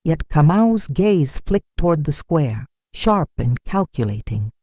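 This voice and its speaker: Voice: medium pitch, very animated, a little slow, dark tone, no room sound, clean studio sound. A narrator telling a dramatic folktale from a script in a solemn, literary manner.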